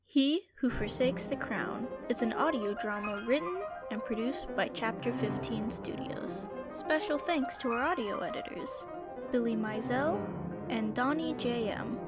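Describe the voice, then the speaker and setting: A woman's voice: a little high, a little slow. A woman reading a scripted credits announcement for an audio drama. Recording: loud music behind the voice. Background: loud music.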